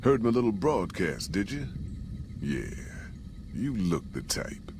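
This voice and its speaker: An American actor playing a gruff, folksy caravan boss in a post-apocalyptic video game, with plain-spoken frontier drawl. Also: male voice, on the low side, very animated, slow pace, noisy background. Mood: businesslike, friendly. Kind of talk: scripted video game character dialogue.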